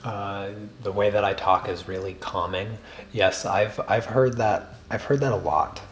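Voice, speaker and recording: A man hosting a drawing livestream, chatting casually with viewers in a very soft, quiet voice and a slow, deliberate cadence full of small restarts. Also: lowish voice, slight background noise. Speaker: art livestream host.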